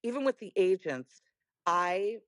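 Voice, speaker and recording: A woman's voice: mid-range voice, lively intonation, measured pace, dry recording, studio quality. A woman, telling her story as a podcast guest in a candid, emphatic way. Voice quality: raspy voice. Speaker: guest, music booker. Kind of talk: podcast conversation.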